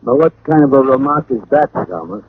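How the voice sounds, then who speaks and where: An actor playing a dim, eager, bumbling police sergeant as comic relief in an old radio drama. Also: male voice, medium pitch, fairly quick, lightly acted. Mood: eager, indignant. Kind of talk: comic 1940s-50s radio acting. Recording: muffled, hissy vintage radio recording.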